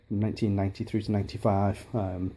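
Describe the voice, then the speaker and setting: A man in his thirties from Liverpool, chatting casually on a home vlog with a softened Liverpool accent, rambling with many restarts and ums, filmed on a handheld camera in his dining room. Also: fairly low pitch, flat delivery, quick pace, very noisy. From Liverpool, England. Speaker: hobbyist vlogger. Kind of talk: casual home video chat.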